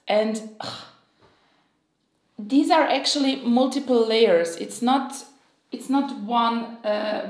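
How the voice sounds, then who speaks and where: A woman in her thirties from Switzerland, Croatian by first language, gushing excitedly through a beauty unboxing to camera at home. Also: fairly high pitch, reverberant. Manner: excitable, gushing, with sighs and small laughs. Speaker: beauty vlogger. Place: home room, indoors.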